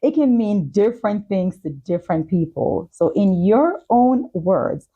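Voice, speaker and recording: A woman's voice: mid-range voice, animated, a little slow, breathy edge, narrow, tinny audio. A woman hosting a talk show, presenting and putting questions to a guest. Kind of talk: hosted talk show, sponsor reads and interview questions.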